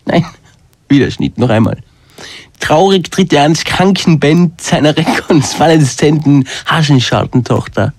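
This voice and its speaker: Male radio narrator, mock-solemn, slightly high voice, unhurried delivery. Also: expressive, breathy edge, no room sound. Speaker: radio comedian as narrator and director of a radio play. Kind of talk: slow, solemn scripted narration with curt studio directions.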